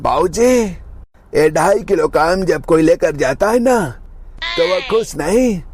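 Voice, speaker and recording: A man voicing a melodramatic film-hero style pitchman in a cartoon comedy, with theatrical, drawn-out delivery. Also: animated, a little hiss or hum.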